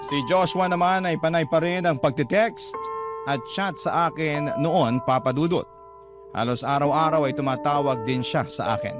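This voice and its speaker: A man's voice: fairly high pitch, moderate pace. A man narrating a listener's letter in the first person, in a confiding storytelling manner. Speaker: letter reader. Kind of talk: reading a listener's letter aloud as radio drama narration. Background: loud guitar music.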